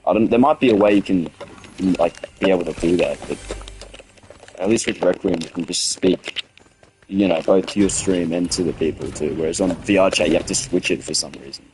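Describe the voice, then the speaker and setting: A young man with a hoarse, sick-sounding voice gives rambling, swearing commentary as he streams a game and chats with viewers. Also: sits low, brisk, darkish tone, slight background noise, rough recording. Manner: rambling, swearing, frequent restarts. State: hoarse from a cold. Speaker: gaming streamer. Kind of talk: casual livestream gameplay commentary.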